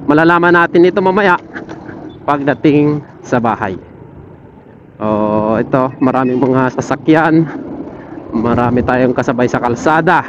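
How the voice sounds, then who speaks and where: A man's voice: mid-range voice, measured pace, pauses often. A man chats casually and in a friendly way to his viewers as a motorcycle vlogger, speaking into a helmet intercom while riding. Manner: friendly, addressing viewers with shoutouts. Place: outdoors on a motorcycle in evening city traffic. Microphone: helmet intercom linked to action camera. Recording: muffled audio with traffic and engine noise. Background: vehicle and street noise.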